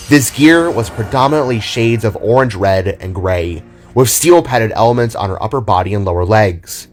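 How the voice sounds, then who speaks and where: A man reading scripted narration for an audio drama. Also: lowish voice. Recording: music under the voice. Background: music.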